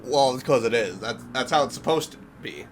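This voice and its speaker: A man's voice: medium pitch, expressive, a little fast, a little hiss or hum. A man giving casual reaction commentary, reading aloud and reacting expressively, drawing out words for emphasis. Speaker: reaction video host.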